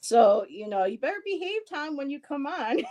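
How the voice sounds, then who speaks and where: A woman's voice: high-pitched, big pitch swings, normal speed, warm tone. A woman in her fifties hosting a live stream, chatting casually with a friend and reading out viewer comments, rambling and laughing often. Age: 50s. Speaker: host.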